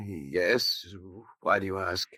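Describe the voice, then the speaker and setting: An actor playing a terrified, unraveling young man in a 1940s radio horror drama, overwrought and halting. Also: deep voice, expressive, pushed and bright, no echo, studio quality, heavily acted. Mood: dazed, hesitant. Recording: muffled vintage 1940s radio broadcast.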